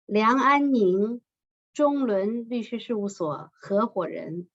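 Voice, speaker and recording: A woman co-announcing award winners at a ceremony, reading each name and firm in a measured, ceremonial way. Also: on the high side, a bit bright. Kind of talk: formal announcing of names and titles. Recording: slightly muffled lo-fi sound.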